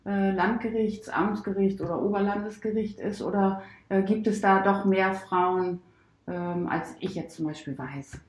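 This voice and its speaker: A woman's voice: mid-range voice, a little mellow, roomy sound, rough recording. A woman co-hosting a podcast, asking curious questions with frequent hesitations. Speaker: podcast co-host. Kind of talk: podcast interview.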